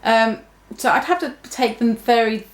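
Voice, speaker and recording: A woman's voice: fairly high pitch, normal speed. Rambling young woman in an echoey room. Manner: rambling, many restarts and fillers. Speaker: vlogger. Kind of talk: casual talk to camera.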